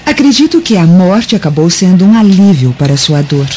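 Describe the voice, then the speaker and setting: A woman's voice: fairly low pitch, very animated, normal speed, warm tone. Coy, seductive Roman noblewoman. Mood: coy, flattering. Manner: coy, insinuating. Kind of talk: radio drama acting. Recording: dull lo-fi radio drama, faint noise.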